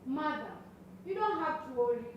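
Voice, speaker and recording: Woman playing young kenyan student activist daughter, bold n idealistic, high voice, super echoey stage. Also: very animated, moderate pace, dull audio. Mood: defiant, resolute. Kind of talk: stage play acting. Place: stage, distant microphone. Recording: echoey stage recording from far off, faint noise.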